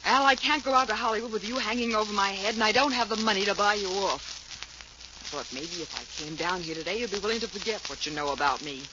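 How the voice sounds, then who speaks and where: A woman's voice: mid-range voice, expressive, fast talker, lightly acted. An actress playing a brash, determined young showgirl in 1940s radio drama, blunt and tough-talking. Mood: bitter, determined. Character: brash, hard-edged young female showgirl, desperate and determined. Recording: muffled, hissy 1940s radio recording.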